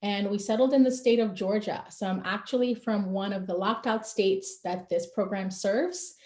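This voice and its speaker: A woman from Guyana introducing herself as a communications manager in a friendly, relaxed way on a video call. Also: medium pitch, moderate pace. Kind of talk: online info session introduction. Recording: echoey room.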